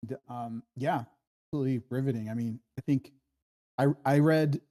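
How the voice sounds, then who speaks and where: Man, dark soft-edged tone, average pitch, casual critique, emphatic, halting. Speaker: participant in a script discussion.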